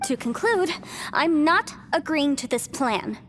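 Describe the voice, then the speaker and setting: High-voiced, earnest young woman. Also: expressive, a little slow, very noisy. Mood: worried. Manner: flustered, emphatic, earnest. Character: earnest, anxious young woman, stubbornly caring. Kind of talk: video game voice acting.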